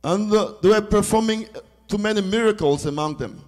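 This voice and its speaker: High-voiced man interpreting a sermon. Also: a little mellow. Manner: echoes the preacher in short phrases, halting searches for words. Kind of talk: live consecutive interpreting of a sermon. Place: church service.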